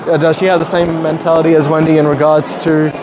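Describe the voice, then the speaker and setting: A man interviewing guests at a gala dinner, friendly and chatty, quick to agree. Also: on the high side, flat delivery, noisy background. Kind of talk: upbeat event interview. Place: crowded hotel function room. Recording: loud crowd chatter.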